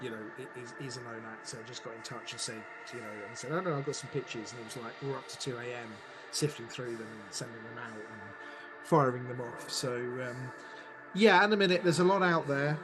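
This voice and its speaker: A man's voice: mid-range voice, a little fast, loud music behind the voice, poor audio. A middle-aged man talking as a podcast guest about his work in a chatty, playful and enthusiastic way. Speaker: guest, audio drama producer and director.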